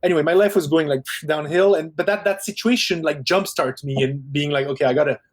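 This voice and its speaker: A middle-aged man with a Parisian French accent, a film director chatting in an interview, animated and quick, often restarting his sentences. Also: a little high. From Quebec, Canada. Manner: animated storyteller, quick bursts, frequent restarts. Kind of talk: casual interview chat.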